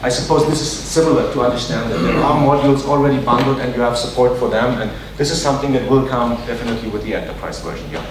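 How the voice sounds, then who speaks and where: A man's voice: mid-range voice, fast, hardly any pauses. A man who is not a native English speaker gives a conference talk and takes audience questions, hesitant with many fillers and restarts. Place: large conference hall. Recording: echoey hall, distant mic, noisy.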